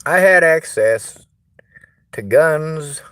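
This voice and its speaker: A tired man with a Southern US accent, talking slowly in a rambling monologue to camera. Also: fairly high pitch, expressive, fairly bright tone, smooth. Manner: slow, tired, drawling delivery. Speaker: vlogger.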